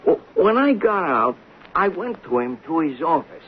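A man's voice: a little high, sing-song, normal speed. An actor playing a nervous, pleading working-class barman who stammers defensively in a 1970s radio drama. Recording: tinny, hissy 1970s radio recording.

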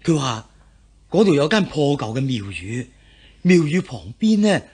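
A woman playing a loyal, humble male servant with a rough, husky voice in a historical radio drama. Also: sits low, big pitch swings, darkish tone, heavily acted. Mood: flustered, excited. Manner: obedient, humble, halting.

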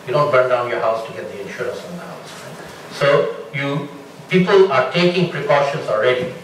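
A middle-aged man from Bangladesh speaking as a panelist, explaining his points in a measured way and building them with examples. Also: mid-range voice, slight background noise. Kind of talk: panel discussion answer. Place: large lecture hall with audience. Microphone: room mic from a distance. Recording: echoey hall from far off.

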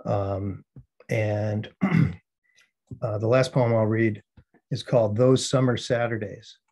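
A man's voice: on the low side, fairly even intonation, slow, a little mellow. American man, a poet giving a reading. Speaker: poet reading own work. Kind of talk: poetry reading with spoken introductions.

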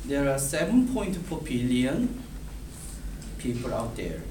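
Korean man in his thirties giving a class speech, slow and halting, taped from far across a roomy classroom. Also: mid-range voice, big pitch swings, dark tone. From South Korea. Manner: halting, repeats and restarts words, gestures while reading. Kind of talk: reading a prepared class speech. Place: classroom in front of a whiteboard. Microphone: far camera. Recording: roomy, distant, noisy classroom audio.

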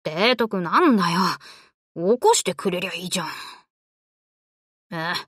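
A woman voicing a brash, tomboyish young warship girl in an anime game, talking in rough, cocky, casual boyish slang. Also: fairly low pitch, animated, a little slow, a bit bright, no echo, clean studio sound, full character voice. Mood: drowsy. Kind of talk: Japanese anime game voice lines.

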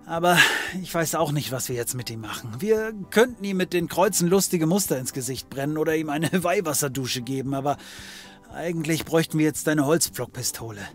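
A man's voice: on the high side, animated, a little fast, soft background music, close to an everyday voice. A man playing a cocky occult detective in a comedy audio drama, wry and full of bravado. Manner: wry, cocksure. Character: cocky male occult detective, fear hidden under bravado.

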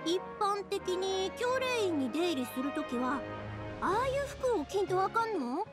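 A woman's voice: very high-pitched, sing-song, a little slow, music in the background, heavily acted. A woman playing a cheerful, sociable young woman in an anime game, speaking friendly, colloquial dialect with a Kansai accent. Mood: curious. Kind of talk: Japanese anime game voice acting.

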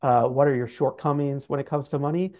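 A man's voice: medium pitch, fairly even intonation, fairly quick, a little mellow. A middle-aged man explaining things as an interview guest in an informal, conversational way with many loose qualifiers. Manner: informal, hedging with like and sort of. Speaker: guest, financial adviser. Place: office room, indoors. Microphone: video call. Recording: dull video-call audio.

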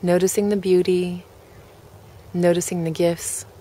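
A woman leading a guided relaxation, speaking gently in measured phrases with pauses between them. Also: lowish voice, level tone. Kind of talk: guided relaxation, meditation narration.

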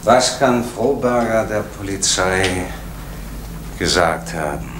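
An actor playing an evasive, placating middle-aged post office official in an old radio crime drama. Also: male voice, sits low, expressive, slow. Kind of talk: 1980s East German radio crime drama. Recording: noisy old radio play recording.

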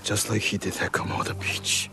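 An actor playing a bitter young ronin leader in a video game cutscene who talks hard and resentfully, with loud music behind him. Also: male voice, normal speed, natural voice. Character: bitter young male ronin leader, torn between loyalties. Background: orchestral game score.

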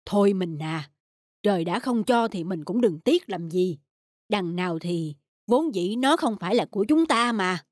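Easygoing good-hearted farmer husband, cartoon folk tale, highish lively voice. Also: male voice, moderate pace, no room sound, clean studio sound. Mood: calm, reassuring. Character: kind, honest, easygoing poor peasant husband. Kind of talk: animated folk tale acting. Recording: music behind the voice.

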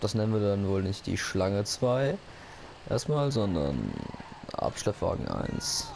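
Male let's-player, low gravelly voice, noisy capture, rambling jokes. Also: sing-song, takes it slowly. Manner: rambling, joking to himself. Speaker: gaming YouTuber. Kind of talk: casual let's-play commentary. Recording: noisy gameplay recording.

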